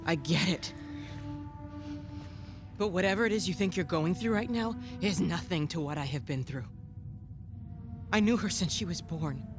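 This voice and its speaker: An actress playing a weary, resolute middle-aged militia leader in a video game, husky and measured in a firm way. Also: female voice, on the low side, music in the background, lightly acted. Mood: pained, insistent. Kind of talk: naturalistic video game acting.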